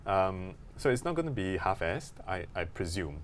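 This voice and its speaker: A man's voice: low voice, very animated, moderate pace. A man co-hosting a podcast, thinking aloud in casual discussion, with faint noise in the background. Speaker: podcast co-host.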